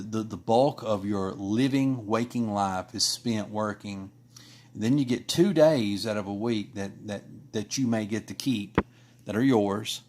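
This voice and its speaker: Rambling man, lowish voice. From Kentucky. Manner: rambling, many restarts and fillers. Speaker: artist streaming live. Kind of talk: casual chat while drawing.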